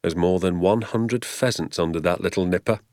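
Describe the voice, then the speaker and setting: A man's voice: low-pitched, normal speed, darkish tone, no room sound, studio quality, lightly acted. A man voicing a fond, sly, proud father in a storybook, with an easygoing, assured manner. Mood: proud, happy. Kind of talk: storybook character voice.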